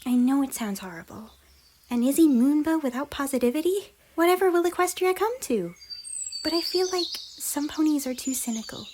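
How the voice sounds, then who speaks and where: Casting: a woman to play a gentle, chirpy girl pony for cartoon-style ASMR, affectionate, very animated pitch, often whispery. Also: normal speed. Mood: confiding, earnest. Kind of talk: roleplay ASMR character dialogue. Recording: noisy, birdsong and outdoor ambience behind. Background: birdsong and nature ambience.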